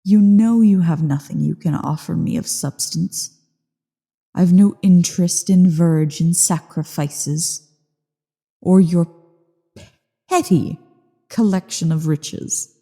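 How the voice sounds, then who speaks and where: A woman voicing an ancient evil female dragon in a slow ASMR roleplay monologue, haughty, bored and menacing, with a low, husky, creaky delivery. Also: mellow, full character voice. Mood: haughty, bargaining. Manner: haughty, disdainful, deliberate. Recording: long cavernous reverb.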